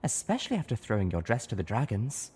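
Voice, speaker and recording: A man's voice: fairly low pitch, very animated, fast, warm tone, breathy edge, exaggerated voice. Man acting a theatrical, sing-song mare pony. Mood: breezy. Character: elegant theatrical mare pony, sweetly chiding. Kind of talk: audiobook character acting.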